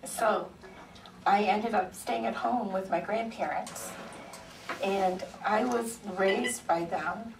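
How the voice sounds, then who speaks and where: Soft-voiced woman speaking slowly. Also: mid-range voice, creaky. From Ohio, USA. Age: 40s. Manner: unhurried storytelling with frequent ums. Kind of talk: personal testimony speech. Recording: echoey hearing room, far mic, faint noise.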